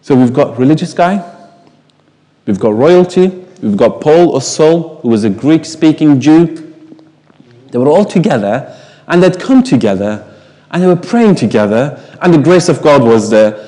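A man's voice: fairly high pitch, animated, moderate pace, mellow, long reverb tail. A man whose first language is Farsi, preaching a sermon in an emphatic way, asking the congregation rhetorical questions.

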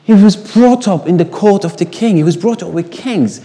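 A man's voice: high voice, moderate pace, mellow, big-room sound. A man whose first language is Farsi, preaching a sermon in an emphatic way, asking the congregation rhetorical questions.

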